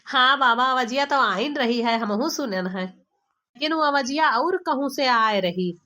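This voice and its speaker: A young, easily exasperated village daughter-in-law performed by a woman with a bright, piercing, fairly high voice. She speaks with an Awadhi accent, complaining in dramatic, gossipy cartoon style. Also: a little slow. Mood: flustered, evasive. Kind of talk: amateur cartoon comedy acting.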